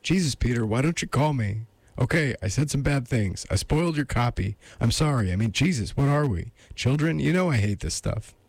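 A man's voice: medium pitch, expressive, brisk, darkish tone, dry recording, voice pushed up. A man voicing a frightened, talkative woman in an audiobook, pleading and bluntly probing. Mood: agitated. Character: agitated, frightened, talkative woman on hotel phone amid gunfire.

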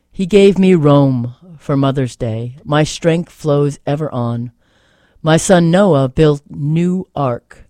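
A man with a fairly high, mellow voice hosts a poetry reading. He is reflective and casual, and tends to repeat a phrase for emphasis. The sound is dry, with hardly any room around him. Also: no echo. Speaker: host reading poems aloud.

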